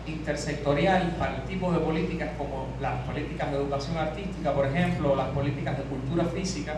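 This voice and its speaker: A Cuban man with a strained, tired voice gives an academic talk, his pitch sitting a little high. He speaks in a measured way and often stops to restart a phrase. He is picked up from a distance in a very echoey conference hall with clear background noise. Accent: Cuban Spanish. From Cuba. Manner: measured, with frequent hesitations and restarts. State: voice strained from hours of teaching.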